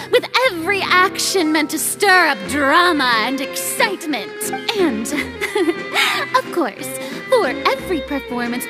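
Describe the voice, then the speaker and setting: A woman's voice: extremely high pitch, heavily acted. A woman playing a haughty young female ruler in a video game, pompous and theatrical, with bluster over nerves.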